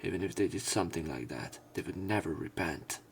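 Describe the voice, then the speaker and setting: A man reading visual novel text aloud as a let's player, in a measured, serious manner. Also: sits low, vocal fry, a little hiss or hum.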